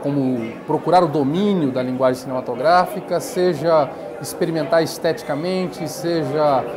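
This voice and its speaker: A man's voice: on the high side, normal speed. A man in a documentary interview, speaking articulately and listing ideas. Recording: crowd noise behind.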